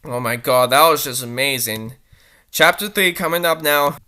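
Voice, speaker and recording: A woman's voice: sits low, normal speed, pushed and bright. A woman reading a story aloud for comedy, with sarcastic, amused commentary in a mocking style. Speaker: reader and commentator.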